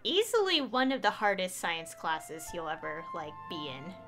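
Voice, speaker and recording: A woman's voice: on the low side, very animated, moderate pace. A woman streaming a video game, giving casual commentary as she plays. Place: stream voice-over with game audio. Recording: game music behind.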